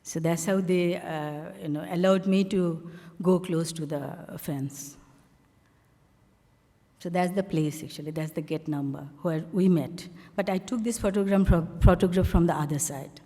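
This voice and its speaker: Bangladeshi woman artist, mellow voice. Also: on the low side, steady tone, long reverb tail. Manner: halting, frequent fillers, plain storytelling. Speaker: artist presenting her work on a panel. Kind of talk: conversational talk walking through slides.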